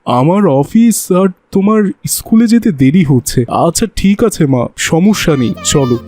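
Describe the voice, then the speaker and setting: A man playing a kind, pious, patient father who speaks in a gently instructive way in an Islamic moral cartoon. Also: on the high side, animated, measured pace. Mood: agreeable.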